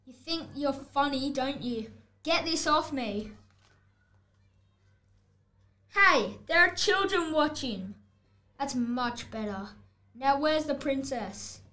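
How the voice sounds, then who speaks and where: A schoolboy of about eleven voicing a vain, indignant storybook wolf who protests and cuts in, in a scripted audiobook style. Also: male voice, very high voice, animated, takes it slowly, pushed and bright, exaggerated voice. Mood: huffy. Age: child, about 11 to 12. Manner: protesting, exclamatory, interrupting the narrator. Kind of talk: scripted picture-book audiobook acting.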